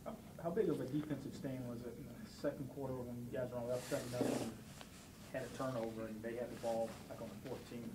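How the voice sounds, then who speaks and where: A man's voice: mid-range voice, fairly even intonation, fast talker, reverberant, distant mic. A man working as a sports reporter, asking post-game questions in a noisy room, away from the microphone. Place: indoor press room. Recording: off-mic, clearly noisy room.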